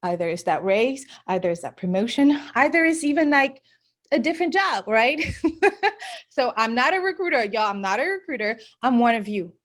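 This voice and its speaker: A woman with a fairly high voice pitches her coaching community in a chatty, upbeat way. She talks casually and directly to the listener. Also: lively intonation, moderate pace. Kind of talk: promotional podcast spot.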